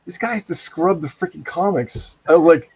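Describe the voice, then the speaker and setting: A man's voice: on the high side, normal speed, a little mellow, breathy edge, dry recording. A man chatting casually in a rambling way, often quoting himself as he tells an anecdote. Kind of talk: casual animated chat. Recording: dry, dull lo-fi connection.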